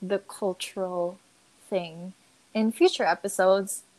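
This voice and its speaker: Young filipina woman w american-sounding accent, chatty podcast cohost, laughs a lot, trails off quiet. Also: mid-range voice, fairly even intonation, slow, poor audio. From Philippines. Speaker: podcast co-host. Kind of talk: casual chat.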